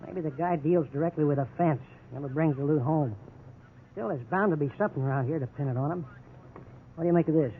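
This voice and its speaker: A man playing a veteran police officer from a 1950s radio crime drama, cocksure and sneeringly sarcastic. His voice is high for a man and he pushes everyone around. It comes through a thin, tinny old broadcast with clear noise. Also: quick pace, lightly acted. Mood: scheming, insistent. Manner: sarcastic, domineering.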